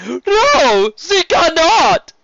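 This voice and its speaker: A woman voicing a vain, gushing, flamboyant male sea serpent duke with theatrical wails and drawn-out exclamations in a fan story reading. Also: very high-pitched, wildly swooping pitch, vocal fry, noisy background, pitched above the performer's own voice, heavily acted. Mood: indignant. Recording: slightly dull, lo-fi recording.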